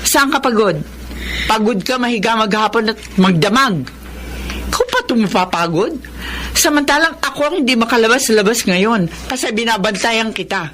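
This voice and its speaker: An exasperated Filipino woman in a radio drama, nagging and fussing over her sick fiancé. Her pitch swings widely over a clearly noisy background. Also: expressive, fairly bright tone. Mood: exasperated, scolding. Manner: nagging, scolding, quick to fuss.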